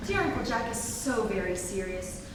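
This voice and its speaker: A woman's voice: fairly high pitch, big pitch swings, normal speed. A woman playing a girlish, sweetly wilful teenage ward with an artless manner in a staged reading of a period comedy. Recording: distant, echoey noisy hall.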